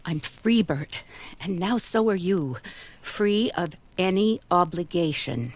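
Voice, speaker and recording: An actress as a composed, low-voiced woman, radio drama. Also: lively intonation, slow pace, dry recording. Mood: composed, firm. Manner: composed, restrained, firm. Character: stoic, gentle woman, Miss Nellie, quietly letting a suitor go. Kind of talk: 1940s radio drama acting.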